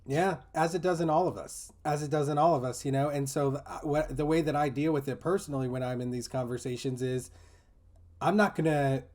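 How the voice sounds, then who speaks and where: A man's voice: on the high side, steady tone, fairly quick, a little mellow. A man talking in a casual, reflective discussion, explaining earnestly and often dropping in "you know" and "like". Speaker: teacher in a discussion group.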